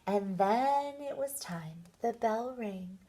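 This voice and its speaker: A woman with a fairly high, very lively voice reads a picture book to young children. She speaks like a teacher, expressively and deliberately, taking an unhurried pace. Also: a little hiss or hum. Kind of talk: read-aloud storytelling.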